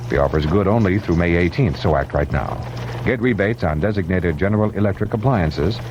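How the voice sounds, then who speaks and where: A man's voice: deep voice, expressive, brisk. A man reading a 1970s radio advertisement as a commercial announcer. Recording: dull, faintly noisy vintage radio recording.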